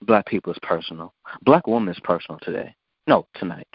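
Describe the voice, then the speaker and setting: A man's voice: deep voice, animated, quick pace, breathy edge, no echo. A man hosting a podcast, talking in a blunt, provocative, preachy way. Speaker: podcast host. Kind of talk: casual podcast talk. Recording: dull lo-fi sound.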